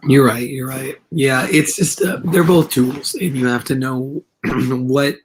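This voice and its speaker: A man appearing as a guest in a casual interview, his voice hoarse from talking a lot, speaking in an easygoing way with fillers. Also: mid-range voice, slow, a little hiss or hum, rough recording. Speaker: guest, comic book creator.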